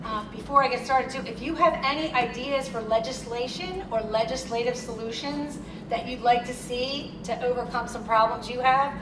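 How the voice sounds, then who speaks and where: A woman with a Philadelphia accent giving a lively, conversational keynote talk at a conference. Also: a little high, normal speed. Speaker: keynote speaker. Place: conference hall. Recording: echoey hall, distant mic, noisy.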